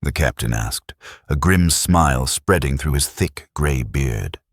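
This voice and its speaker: Deep-voiced audiobook narrator, swinging dramatic intonation. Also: male voice, lively intonation, measured pace, no echo, clean studio sound.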